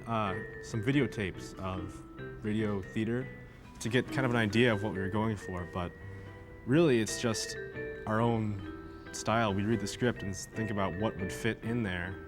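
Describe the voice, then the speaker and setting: A young man, a student musician, explaining his work in a relaxed interview style. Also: on the low side. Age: young adult.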